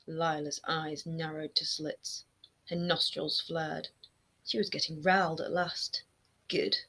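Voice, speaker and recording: A woman in her thirties reads a chapter of her novel aloud, her voice bright and forceful yet set a little low. She tells the story expressively and steadily, seated close to her camera at home. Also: flat delivery, slow pace. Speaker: author reading her novel. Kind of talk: fiction reading aloud. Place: home room lined with bookshelves. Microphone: webcam framing, seated close to camera.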